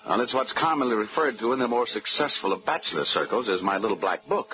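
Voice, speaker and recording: A man's voice: medium pitch, animated, fast talker, noisy background, natural voice. A man playing a breezy, wisecracking insurance investigator in a 1940s radio drama. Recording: dull old radio recording with music.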